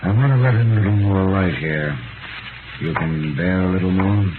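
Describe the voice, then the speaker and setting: A noisy, tinny 1930s radio recording of a male actor as a grim Parisian shopkeeper. His voice is very low. He speaks tersely and emphatically, with brooding bitterness, in vintage dramatic style. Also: normal speed, lightly acted. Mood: patient, coaxing. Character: grim, bitter Parisian wine-shop keeper, former servant.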